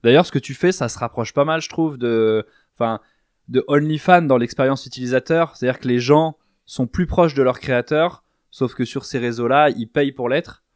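A man's voice: medium pitch, moderate pace, dry recording, studio quality. A man hosting a podcast interview, asking his questions with many hesitant fillers. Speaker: podcast host.

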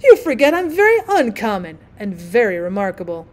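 A woman's voice: mid-range voice, sing-song, brisk, lightly acted. A woman voicing a vain, self-obsessed male firework rocket in a storybook reading, with haughty, indignant, self-important delivery. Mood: indignant, scornful.

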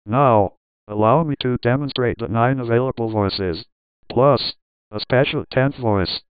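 A man's voice: medium pitch, smooth, dry recording. Robotic synthetic man, dull audio. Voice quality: robotic synthetic text-to-speech. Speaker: demonstrator of synthesizer voices. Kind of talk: scripted narration.

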